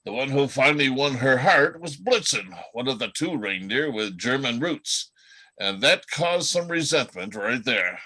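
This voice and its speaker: A man playing a chatty, world-weary reindeer in an amateur radio play, telling gossip in a folksy, halting way. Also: medium pitch, lively intonation, bright, forceful tone, slightly rough, heavily acted, low-quality recording. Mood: amused storytelling.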